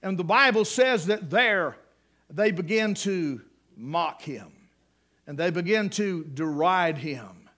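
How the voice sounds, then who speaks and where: A male preacher, telling a Bible story in a folksy sermon with joking asides and loud emphatic peaks. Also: high-pitched, lively intonation, slow.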